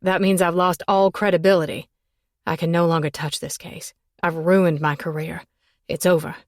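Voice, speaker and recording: A woman narrator voicing a cold, bitter man who speaks in a low, gritted, clipped way. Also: level tone, moderate pace, no echo, clean studio sound, close to an everyday voice.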